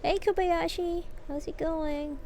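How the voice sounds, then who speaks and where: A woman game streamer chatting casually and commenting on gameplay in an informal, halting way. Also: very high-pitched, steady tone, dark tone, a little hiss or hum. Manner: informal, halting, repeats herself. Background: game music.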